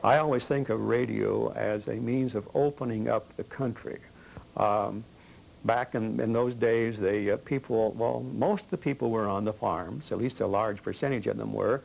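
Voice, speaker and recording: A man recalling the past in an interview, speaking haltingly as he thinks aloud. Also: on the low side, vocal fry, darkish tone, rough recording. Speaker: documentary interviewee. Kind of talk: reflective interview recollection.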